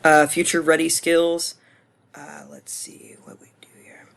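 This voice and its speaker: A man in his twenties with a hoarse voice, talking through a course screencast reflection in a casual, hesitant way full of ums and restarts. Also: on the high side, little pitch movement, measured pace, a bit bright. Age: 20s. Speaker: student.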